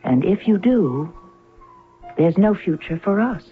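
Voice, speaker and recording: A thin, band-limited radio recording with faint music carries a cool, possessive woman. She phrases things formally and deliberately, a guarded sweetheart from an old radio play who quietly makes demands. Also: fairly low pitch, animated, narrow, tinny audio. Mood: cool, reproachful. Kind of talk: old-time radio drama acting.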